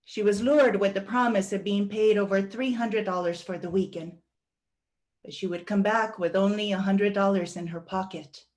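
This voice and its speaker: A woman reading a first-person monologue aloud as a guest reader, with a deliberate, earnest storytelling delivery. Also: mid-range voice, steady tone, a little mellow.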